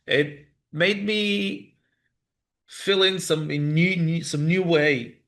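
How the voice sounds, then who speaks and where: A man in his thirties from Crimea, a native Russian speaker, talking as a rabbi guest on a podcast in halting, searching English. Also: on the high side, fairly bright tone. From Crimea, Ukraine. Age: 30s. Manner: halting, repeats words while searching for English phrasing. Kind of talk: casual interview conversation.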